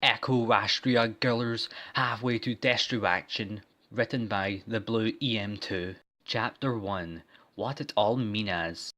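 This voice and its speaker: A man reading a story as a deliberately bad parody narration, garbling and mispronouncing words on purpose. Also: fairly low pitch, pushed and bright. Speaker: fan fiction reader.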